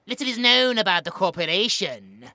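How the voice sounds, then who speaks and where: A man as a bright-voiced smug British mad scientist. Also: sits high, expressive, normal speed, no room sound, studio quality, heavily acted. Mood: explanatory, bitter. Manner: taunting, theatrical, clinical and precise. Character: smug, cruel mad scientist obsessed with research. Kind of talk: audio roleplay drama.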